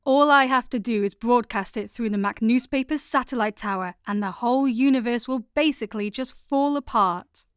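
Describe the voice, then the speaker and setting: A scheming female villain played by a woman in a comic radio play. The voice is on the high side and recorded dry, with a smug newsreader's delivery. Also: normal speed, few pauses, clean tone, no echo, lightly acted. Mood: smug menace.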